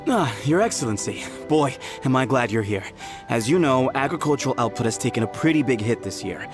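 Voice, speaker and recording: A man's voice: mid-range voice, expressive, brisk, music in the background, lightly acted. An actor voicing a worried but relieved male farm villager in an anime game, reporting to his leader. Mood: relieved, businesslike.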